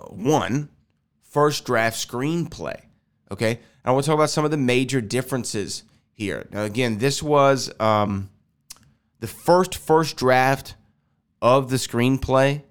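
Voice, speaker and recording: A man's voice: medium pitch, smooth. A man hosting a solo podcast, rambling and correcting himself as he goes, with a nasal voice because he is getting over a sinus infection. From Houston, Texas. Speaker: podcast host. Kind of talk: casual solo podcast talk. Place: air-conditioned house.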